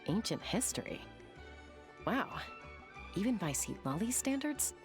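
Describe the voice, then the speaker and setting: A woman's voice: medium pitch, big pitch swings, unhurried. An actress playing a thoughtful, wry adventurer woman in a video game, speaking in a reflective, encouraging way. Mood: light, wry. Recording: music behind the voice.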